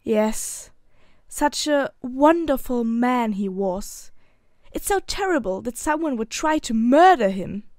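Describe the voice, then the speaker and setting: A woman's voice: a little high, expressive, slow pace, a little mellow, no room sound, clean studio sound. A woman playing a proper, guarded young wife in an audio drama, speaking formally. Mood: composed.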